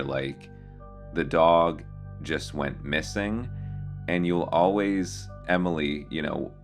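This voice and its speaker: Very deep-voiced man reacting casually. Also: creaky, a bit bright. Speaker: host reacting to horror videos.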